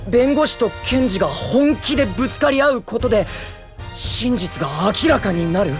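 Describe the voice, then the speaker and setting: A man's voice: very high-pitched, normal speed, music in the background. A man playing an earnest, kind-hearted young defense lawyer who flusters easily, in an audio drama. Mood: earnest, flustered. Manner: earnest, casual, expressive. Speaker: defense attorney. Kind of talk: Japanese audio drama acting.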